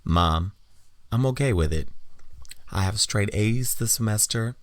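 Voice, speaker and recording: Man playing a low-voiced, dismissive teenage son. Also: animated, measured pace, no room sound. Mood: reassuring. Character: teenage son, dutiful, brushing off his mother.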